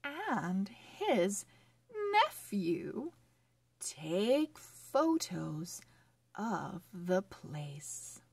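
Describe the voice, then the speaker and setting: A woman hosting a children's read-along phonics lesson, speaking in a sing-song, encouraging way with deliberate, exaggerated enunciation. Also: medium pitch, big pitch swings, breathy edge, dry recording.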